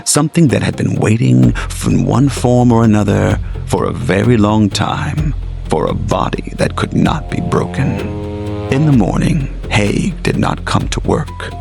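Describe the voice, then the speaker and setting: A man reading scripted gothic horror as a storyteller, in a dramatic, deliberate literary manner with weighted phrases. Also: on the low side, lively intonation, creaky. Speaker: storyteller, narrator. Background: music under the narration.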